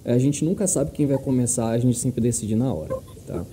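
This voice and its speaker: A man's voice: mid-range voice, moderate pace, warm tone, a little hiss or hum. A man in his thirties with a Brazilian accent co-hosting a casual podcast interview, explaining things conversationally. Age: 30s. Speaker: podcast co-host. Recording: music in the background.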